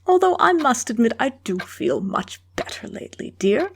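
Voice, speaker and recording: A woman playing a kindly, frail elderly aunt with gentle, affectionate delivery in a comic dub. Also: fairly high pitch, very animated, normal speed, vocal fry, breathy edge, full character voice. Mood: grateful, affectionate.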